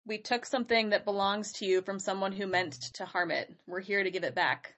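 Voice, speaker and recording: A woman's voice: mid-range voice, fairly even intonation, fairly quick, fairly bright tone. A woman playing in a tabletop game, chatting and laughing often as she describes her moves. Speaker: player. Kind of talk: casual game chat. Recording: dull lo-fi sound.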